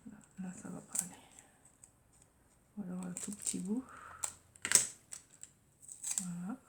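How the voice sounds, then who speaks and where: Woman vlogger with a cold, low and gravelly, unhurried crafting chat that drops to murmured asides. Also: steady tone, background noise. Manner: drops to murmurs and whispers while working, repeats "voilà" often. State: has a head cold. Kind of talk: casual crafting tutorial.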